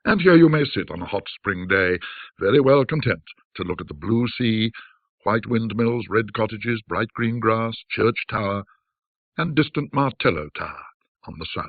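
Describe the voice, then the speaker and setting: A man with a husky voice narrating a classic ghost story in a measured, theatrical, reflective manner. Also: fairly low pitch, expressive, dry recording, narrow, tinny audio.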